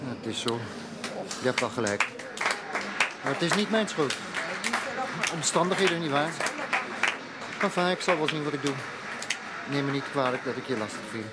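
A man acting an aloof, polite young gentleman in a period radio drama, speaking with formal, clipped courtesy. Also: mid-range voice, lively intonation, moderate pace, long pauses, over a noisy crowd, very noisy, lightly acted. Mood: resigned, curt.

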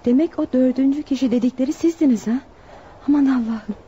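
A woman's voice: high voice, fast, warm tone, slight background noise, lightly acted. A woman playing a bereaved young sister in a radio drama, earnest and probing. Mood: shocked, questioning. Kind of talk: Turkish radio drama acting. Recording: muffled radio drama recording.

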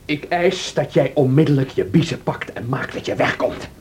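An actor playing an indignant, formal gentleman husband and master of the house in a radio drama, with a husky voice. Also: fairly high pitch, moderate pace, slight background noise, lightly acted. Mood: outraged.

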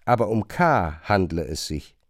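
Expressive male literary narrator, dry sound. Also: low voice, a little slow, no room sound. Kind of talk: literary narration in a radio play.

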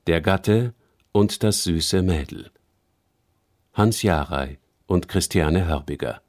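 Very low, slow male radio announcer. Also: a little mellow. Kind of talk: scripted title and cast announcement.

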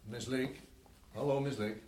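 A man's voice: medium pitch, steady tone, measured pace, darkish tone, close to an everyday voice. An actor playing a polite, urgent male prison guard in a radio play. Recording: echoey, slightly noisy radio play recording.

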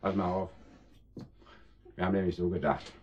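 An actor playing a menacing thug in a radio crime play, mockingly polite and given to barking orders. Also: male voice, low-pitched, fairly even intonation, moderate pace, a little mellow, lo-fi sound, a little hiss or hum, natural voice. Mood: mockingly genial. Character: menacing, jovially mocking male thug intruder. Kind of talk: radio crime drama acting.

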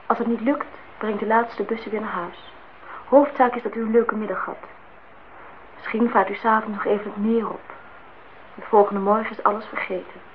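A woman's voice: mid-range voice, close to an everyday voice. An actress playing an indignant, disillusioned young woman in a Dutch radio play, outspoken and reproachful as she speaks her mind. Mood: wry, probing. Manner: reproachful, talks in long outpourings. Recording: dull, noisy old radio play recording.

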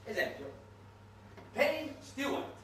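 A man performing a theatrical narrative monologue in a conversational way, emphatic, with dramatic pauses and repetitions. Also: extremely high pitch, sing-song, fairly bright tone, far from the mic. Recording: echoey, noisy room recording from afar.

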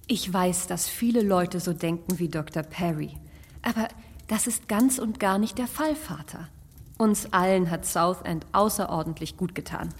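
A husky-voiced soothing daughter. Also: female voice, fairly low pitch, moderate pace, big-room sound. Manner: soothing, reassuring. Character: gentle dutiful grown daughter. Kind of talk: radio play acting.

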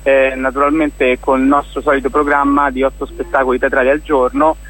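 A man speaking casually as an interview guest and festival organiser, in an informal, halting way, often searching for words. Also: mid-range voice, flat delivery, fairly bright tone, poor audio.

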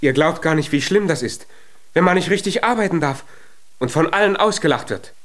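High-pitched, eager little giant. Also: male voice, animated, brisk, fairly bright tone. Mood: happy. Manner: eager, boastful, naive. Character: small bearded giant, strong, eager, proud, childlike. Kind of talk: children's radio play acting.

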